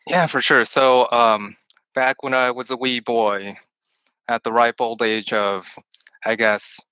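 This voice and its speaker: Brief: man from Texas, Chinese first language, rambling guest, bright and dry. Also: medium pitch, steady tone, takes it slowly, clean tone, no room sound, lo-fi sound. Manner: long-winded, rambling, with many restarts. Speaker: podcast guest, returned missionary. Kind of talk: casual chat over a call. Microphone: call-in line.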